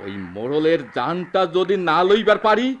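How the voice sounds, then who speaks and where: A man's voice: high-pitched, very animated, moderate pace, fairly bright tone, highs cut off, a little hiss or hum. An actor playing an aggrieved, fiery old village father and widower in a Bengali radio drama, lamenting emotionally in a rural Bangladeshi dialect. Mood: distraught, furious.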